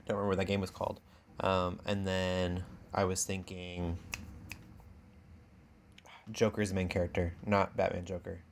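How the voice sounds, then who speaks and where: A man's voice: deep voice, flat delivery, normal speed, long pauses, a little hiss or hum. A man co-hosting a podcast, chatting casually about video games in a playful, sarcastic way. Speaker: podcast co-host.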